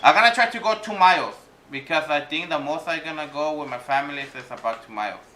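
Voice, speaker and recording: A man talking casually in an unscripted vlog as he reviews gear, in a rambling, halting way. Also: a little high, bright, forceful tone. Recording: clear background noise, vehicle sounds.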